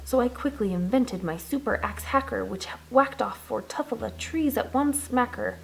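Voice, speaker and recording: A woman reading a rhymed children's storybook aloud in an expressive, rhythmic way with dramatic emphasis. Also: fairly high pitch, normal speed, gravelly, slight background noise, poor audio. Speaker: children's storybook reader, teacher.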